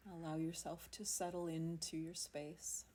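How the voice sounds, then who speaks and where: A woman leading a guided yoga nidra meditation in a soothing, unhurried manner, leaving long pauses between phrases. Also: low-pitched, little pitch movement, warm tone. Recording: amateur recording with faint background noise.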